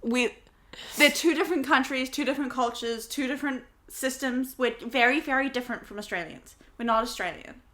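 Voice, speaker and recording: Bright, sharp-voiced New woman. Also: sits high. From New Zealand. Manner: agreeable, many quick yeahs, heated rants. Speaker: podcast co-host. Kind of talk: casual chat.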